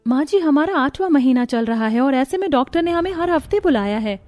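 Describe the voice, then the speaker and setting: A woman's voice: high voice, lively intonation, fairly quick, a little mellow, dry recording, faint music behind. A woman voicing a worried, dutiful young daughter-in-law in a cartoon story, speaking politely and pleadingly. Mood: meek protest. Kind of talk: Hindi cartoon moral-story dialogue.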